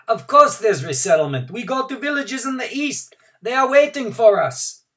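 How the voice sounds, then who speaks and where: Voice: medium pitch, animated, normal speed, a bit bright, distant mic, voice pushed up, close to an everyday voice. A reader voicing a scornful man in a crowd who answers in a raised, insistent voice. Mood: defiant.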